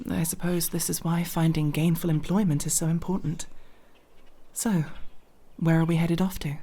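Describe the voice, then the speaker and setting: A woman's voice: low voice, dark tone. A woman playing a nervous but proud young elf thief in an ASMR roleplay, speaking in formal, wordy, hesitant phrases. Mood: sheepish, composed.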